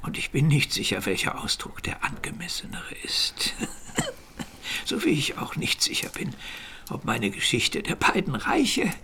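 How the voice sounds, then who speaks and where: Gravelly, frail old bishop. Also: male voice, medium pitch, wildly swooping pitch, pushed and bright, exaggerated voice. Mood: frail, wry. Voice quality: gravelly, breathy, often dropping to a whisper. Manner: measured, didactic, coughing. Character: elderly sickly medieval bishop, learned, wry, fatherly. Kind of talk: radio play acting.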